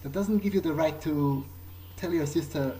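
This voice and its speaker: Man as uneasy male vampire, soft dark timbre, halting, stammering, apologetic, improvised game roleplay. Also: a little high, a little hiss or hum, natural voice, poor audio. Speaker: player acting in character.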